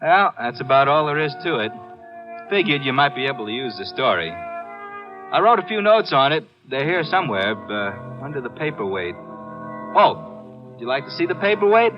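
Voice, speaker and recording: An actor playing a laid-back, quietly macabre storyteller in a 1950s radio drama, with a folksy, offhand manner. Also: male voice, medium pitch, big pitch swings, normal speed, lightly acted. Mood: wry, offhand. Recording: muffled old radio recording with music.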